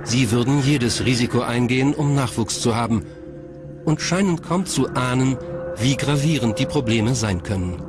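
A man's voice: medium pitch, expressive, moderate pace. Male documentary narrator over prominent music. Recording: music bed behind narration. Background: background music.

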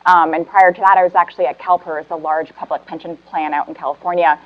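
A woman's voice: lowish voice, steady tone, fast, lo-fi sound. A woman in her thirties introducing herself on a conference panel as an investment manager, confident and fluent. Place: conference room panel, indoors.

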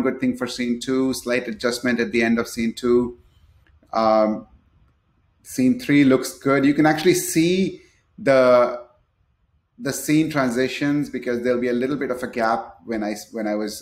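Echoey man giving a software demo. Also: mid-range voice. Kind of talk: screen-share product tutorial, partly unscripted. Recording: echoey room.

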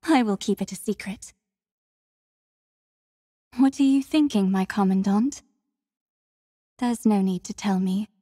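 An actress playing a devoted, composed young female warrior in a video game, speaking with formal, measured, soft courtesy. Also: mid-range voice, no echo, studio quality, long reverb tail, natural voice. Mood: calm, caring. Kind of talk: scripted mobile game character lines.

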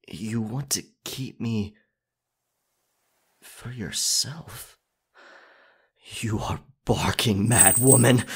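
A man playing a captured, proud and defiant pirate captain in an ASMR roleplay, with theatrical old seafaring phrasing and a husky, breathy voice. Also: mid-range voice, exaggerated voice. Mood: baffled.

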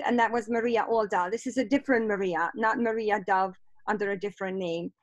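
A middle-aged woman from Hungary with a Hungarian accent, leading an online teacher workshop over a video call and warmly reading out participants' chat replies. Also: mid-range voice, no room sound. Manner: warm, encouraging, reads out chat replies. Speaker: professor leading a webinar. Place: home office on a video call. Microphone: computer mic on a video call.

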